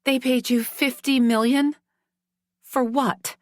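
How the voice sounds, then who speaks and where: A woman's voice: steady tone, takes it slowly, dry recording. A woman voicing a distraught young woman who pleads tearfully and screeches at her father. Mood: pleading. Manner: desperate, tearful, shrill.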